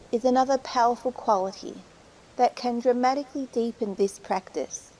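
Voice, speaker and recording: A woman leading a guided meditation, giving soothing, unhurried instructions with pauses between phrases. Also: on the high side, warm tone, rough recording.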